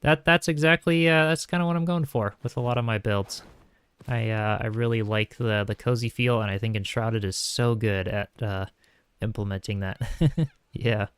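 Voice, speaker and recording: A young man streaming a building game, talking calmly and softly while reading chat aloud, with frequent small chuckles. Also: on the low side, moderate pace, smooth, no room sound. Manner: soft-spoken, calm, chuckles often, reads chat aloud. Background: faint game music.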